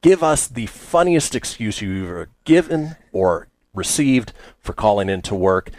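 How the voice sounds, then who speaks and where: Man, radio host, very lively pitch swings, broadcast talk delivery. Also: measured pace, no room sound, clean studio sound. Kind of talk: live radio talk show hosting.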